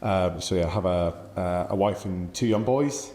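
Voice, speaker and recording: A man's voice: deep voice, moderate pace, dark tone, reverberant. A man in his forties with an English accent, answering interview questions thoughtfully with many fillers and restarts. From England. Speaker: podcast guest.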